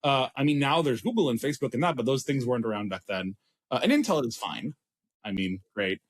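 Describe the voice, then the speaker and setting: A man's voice: mid-range voice, animated, brisk. Israeli man, casual rambling interview talk. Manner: rambling, self-deprecating, many restarts. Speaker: startup CEO guest.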